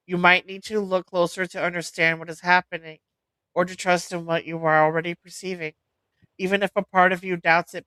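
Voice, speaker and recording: A woman reading oracle card meanings aloud as host, in a measured, deliberate way with an occasional stumble. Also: fairly low pitch, sharp tone, clean tone, no room sound, low-quality recording.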